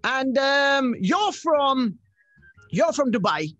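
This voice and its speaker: Young man, radio host, high-pitched, forceful bright tone, dry studio sound. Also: very animated, takes it slowly, dry recording, highs cut off, faint music behind. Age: young adult. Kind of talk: upbeat radio interview. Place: small radio studio indoors. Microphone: studio microphone with headphones.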